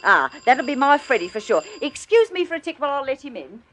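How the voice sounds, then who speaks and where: An actress with an English accent playing a talkative, respectable chauffeur's wife in a radio drama, chatty, colloquial and deferential. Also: female voice, high voice, big pitch swings, fast talker, a bit bright. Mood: chatty, helpful. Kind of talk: British radio drama acting.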